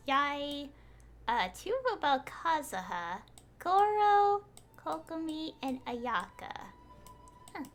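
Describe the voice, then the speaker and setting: High, bright-voiced woman game streamer. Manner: excitable, rambling to viewers. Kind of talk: casual live game stream chat.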